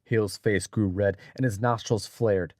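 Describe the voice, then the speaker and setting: A man narrating a dramatic story in a measured, deliberate, scripted audiobook style. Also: lowish voice, steady tone, darkish tone, no echo, clean studio sound.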